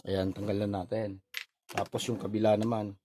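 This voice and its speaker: A man's voice: lowish voice, little pitch movement, moderate pace. A man with a Filipino accent narrating an informal hands-on repair tutorial in short, step-by-step instructional phrases. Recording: noisy recording with clicks.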